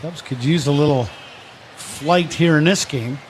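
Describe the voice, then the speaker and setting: A male color commentator breaks down baseball plays on live radio. His voice is fairly high for a man, and faint ballpark ambience runs behind it. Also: a little slow, background noise. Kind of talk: live radio sports analysis.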